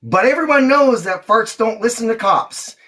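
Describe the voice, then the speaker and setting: A woman's voice: fairly high pitch, animated, moderate pace, fairly bright tone. A woman reading a storybook aloud to a child, acting out the lines expressively. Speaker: mother reading to her daughter on a livestream.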